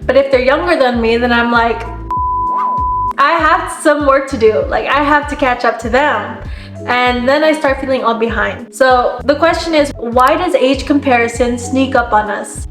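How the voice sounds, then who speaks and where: A woman's voice: high-pitched, moderate pace. Young female self-help vlogger, earnest and direct, voice a little worn; roomy echo. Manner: earnest, frequent "like" fillers, direct address. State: losing her voice, sniffly. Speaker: self-help content creator. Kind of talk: casual motivational talk to camera. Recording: echoey room with faint music.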